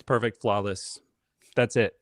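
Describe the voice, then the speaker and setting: A man's voice: lowish voice, fairly even intonation, unhurried, no echo. A man chatting casually as a guest, with a tongue-in-cheek, mock-defensive comic manner. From Canada. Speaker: guest on a live stream.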